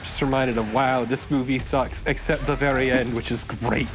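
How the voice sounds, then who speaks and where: A man's voice: medium pitch, steady tone, moderate pace. A man co-commentating a video game in casual, joking chat. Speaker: let's play co-commentator. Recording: clear background noise.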